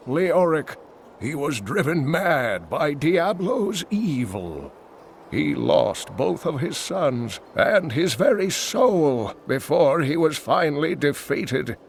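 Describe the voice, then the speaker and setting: An actor playing an old male scholar who tells lore in a slow, measured way. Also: on the high side, very animated, creaky, a little hiss or hum, heavily acted. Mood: grave. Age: older.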